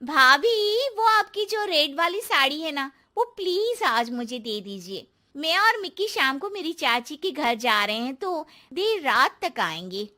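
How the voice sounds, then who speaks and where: A woman's voice: high voice, sing-song, measured pace, hardly any pauses, sharp tone, full character voice. A woman voicing a pushy, shameless young married houseguest in a cartoon story, gushing and cajoling with a sense of entitlement. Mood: cajoling, demanding. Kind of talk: animated cartoon story dialogue.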